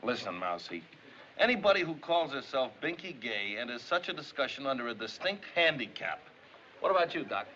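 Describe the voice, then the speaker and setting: A muffled, noisy old film soundtrack carries a brash middle-aged American man. He is eager, folksy and wisecracking, with a lively voice that swings up and down. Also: mid-range voice, a little fast. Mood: brisk, teasing. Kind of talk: 1950s film acting.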